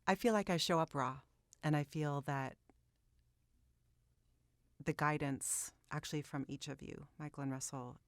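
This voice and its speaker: A woman with a notably deep voice talks as an actress being interviewed. She is earnest and reflective, and the studio recording is dry, with no room sound. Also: a little slow, long pauses, dry recording.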